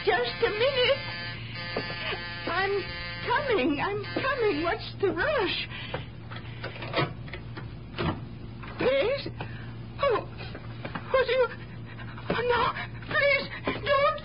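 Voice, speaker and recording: An actress playing a flustered, fearful woman in a radio drama, plaintive and halting. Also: very high voice, animated, heavily acted. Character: frightened woman pleading with an attacker. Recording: muffled radio recording under loud music.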